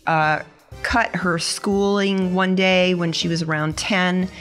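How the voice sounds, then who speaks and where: Woman, very slow pace, lowish pitch, hesitant, careful, casual game-table talk. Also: fairly even intonation, fairly bright tone, faint music behind. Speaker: tabletop game player, new to the hobby.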